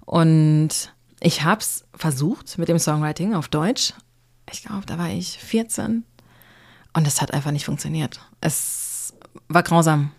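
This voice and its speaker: A young woman from Germany speaks as an interview guest, in a low, easygoing voice. She talks about her music at ease. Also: darkish tone. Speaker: singer-songwriter guest. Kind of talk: casual interview answers.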